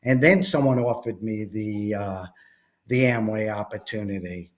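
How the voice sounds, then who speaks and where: A man's voice: lowish voice, a little slow. A middle-aged man with a Long Island accent, telling his life story as an interview guest, rambling with many fillers. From New York, USA.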